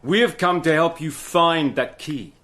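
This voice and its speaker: A cool, persuasive British agent narrates and argues in a calm, measured way. His voice sits slightly high, steady and controlled, like the lead of a radio drama.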